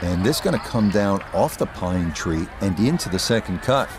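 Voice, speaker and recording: An actor playing a calm male golf announcer, giving measured play-by-play in a sports game, with music and crowd noise behind him. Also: sits low. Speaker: golf commentator. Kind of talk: scripted sports commentary.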